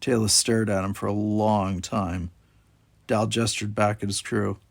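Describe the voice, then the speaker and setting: A man's voice: on the low side, little pitch movement, measured pace, creaky, no room sound. A man reading a children's sci-fi story aloud as scripted audiobook narration. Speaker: audiobook reader.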